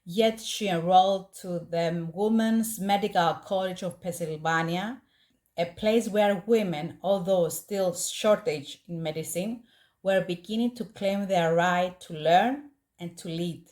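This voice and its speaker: A woman with a Greek accent, a native Greek speaker, hosting a podcast and narrating a true story in an earnest, measured way. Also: on the low side, a little mellow. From Greece. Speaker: podcast host.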